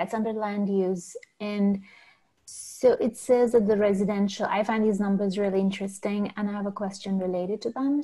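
A woman's voice: medium pitch, mellow, poor audio. A woman town councilor asking questions at a public meeting over a video call, thinking aloud with fillers like "like" and "um".

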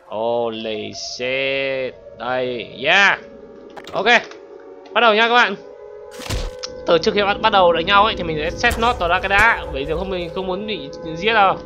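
A man giving excitable gameplay commentary as a channel host, often exclaiming and addressing his viewers. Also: sits high, moderate pace, highs cut off, over music.

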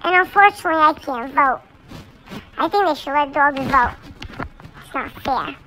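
Someone doing a bright, high little puppy. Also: expressive, normal speed, slight background noise, full character voice, rough recording. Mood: earnest. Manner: rambling, earnest, childlike chatter. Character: chatty six-month-old male Sheltie puppy. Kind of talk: talking-pet video monologue.

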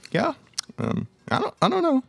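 A young man playing a laid-back moviegoer with a casual, halting delivery. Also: extremely high pitch, huge pitch swings, gravelly, a bit bright, soft background music, full character voice. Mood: easygoing. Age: young adult. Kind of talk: student radio theatre dialogue. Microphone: microphone on stand.

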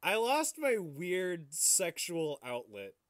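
A man's voice: high voice, huge pitch swings, slow, a bit bright. A young adult man co-hosting a podcast in casual, crude, profane banter. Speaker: podcast co-host.

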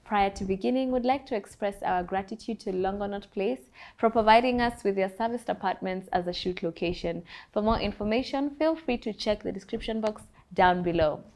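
Woman host, mid pitch, bright scripted welcome for a talk show. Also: fairly quick, few pauses. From Kenya. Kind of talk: show intro and interview. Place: apartment living room.

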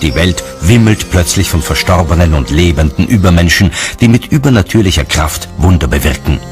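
Deep male narrator reading a documentary script, music under it. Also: animated, brisk.

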